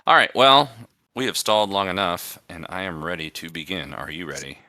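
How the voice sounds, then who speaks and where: A man with a very low voice and a strikingly bright, forceful edge hosts a casual podcast. He talks in a loose, rambling way, and every word comes through crisp and close in a dry recording. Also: fairly quick, dry recording. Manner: rambling, conversational. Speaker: podcast host. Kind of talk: casual chat.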